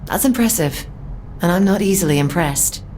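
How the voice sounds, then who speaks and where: An actress playing a proud, disciplined British secret agent, formal and composed, in a scripted game audio log. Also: female voice, fairly low pitch, animated, normal speed, a little mellow, slight background noise, lightly acted, low-quality recording. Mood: dry, grudging respect.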